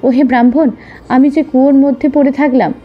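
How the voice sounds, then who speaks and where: A woman's voice: high-pitched, fairly even intonation, a little fast, muffled recording, faint music behind, voice pushed up, natural voice. A woman voicing a wary but helpful snake in a children's story. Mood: pleading. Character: wary, helpful snake who distrusts humans.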